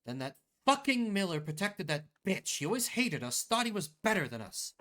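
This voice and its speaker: A man's voice: high-pitched, sing-song, normal speed, fairly bright tone. Man voicing a bitter, blunt young peasant woman. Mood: angry, accusing. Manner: blunt, heated. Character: bitter, foul-mouthed young peasant woman, quick to anger.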